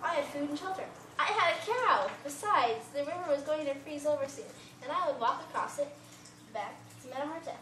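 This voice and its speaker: Young woman as a high-voiced defiant girl, echoey. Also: lively intonation, brisk, pushed and bright, distant mic. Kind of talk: amateur puppet show acting. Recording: echoey room with clear background noise.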